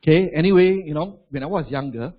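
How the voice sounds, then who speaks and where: A man's voice: a little high, fast talker, lo-fi sound. A man in his forties with a Malaysian English accent, giving a chatty seminar talk and throwing rhetorical questions at the audience. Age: 40s. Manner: chatty, asks the crowd rhetorical questions, adds "lah". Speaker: motivational business speaker.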